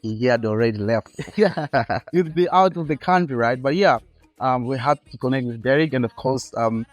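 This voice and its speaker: A man's voice: mid-range voice, moderate pace, dry recording, soft background music. A man hosting a podcast interview, friendly and encouraging, laughing easily and prompting his guest. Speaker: podcast host. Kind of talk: casual interview chat.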